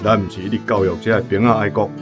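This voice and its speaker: A man plays a senior army officer with a Taiwanese accent and a low voice. He lectures pompously, as a radio drama commander would, over clearly heard guitar music. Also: little pitch movement, racing, natural voice.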